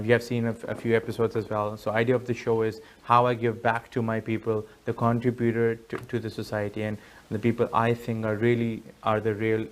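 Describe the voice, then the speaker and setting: A man hosting a talk show, interviewing a guest in a polite, deferential and conversational manner. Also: lowish voice.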